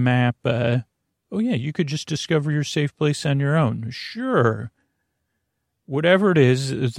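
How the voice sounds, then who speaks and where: A man with a creaky voice hosting a bedtime sleep podcast, meandering and halting in a soothing, lulling monologue that trails off into tangents. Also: mid-range voice, darkish tone, no echo, clean studio sound. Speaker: podcast host.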